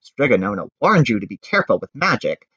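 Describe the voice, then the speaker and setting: Man acting a sensible, scolding young woman, animated. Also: medium pitch, fast, slightly rough, no echo, lo-fi sound. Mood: knowing. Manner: warning, matter-of-fact.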